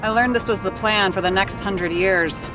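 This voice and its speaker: A troubled, blunt woman investigator, voiced by an actress in a noir video game. Her voice sits at a medium pitch and she questions people directly, with a sharp tongue. Music plays clearly behind the voice. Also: normal speed, natural voice, low-quality recording. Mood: serious, intrigued. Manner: direct, questioning, foul-mouthed. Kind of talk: cinematic video game dialogue.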